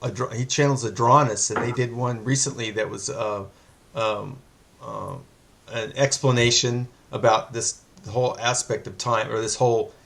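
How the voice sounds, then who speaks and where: A man's voice: mid-range voice, fairly even intonation, normal speed, vocal fry, fairly bright tone, slight background noise. A man hosting an interview, chatting casually with lots of hesitations and the odd joke. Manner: rambling, many fillers, joking. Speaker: interview host.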